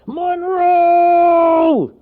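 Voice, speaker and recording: A man voicing a huge, grumpy bear who wants sleep, with a gruff, growling, exasperated roar in a children's story. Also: extremely high pitch, level tone, very slow, narrow, tinny audio, pitched above the performer's own voice, full character voice. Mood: bellowing. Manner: roaring, exasperated bellowing.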